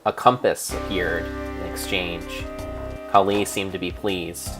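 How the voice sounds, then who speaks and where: A man narrating a video game as a dramatic story, in a theatrical, deliberate, mock-solemn manner. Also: low-pitched, steady tone, over music.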